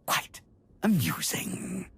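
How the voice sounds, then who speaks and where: An actor playing an arrogant, coldly amused immortal dark-side villain in a video game, speaking with theatrical, drawn-out condescension and a gravelly, husky voice. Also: male voice, dry recording, faint music behind. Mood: smug amusement. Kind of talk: dramatic video game acting.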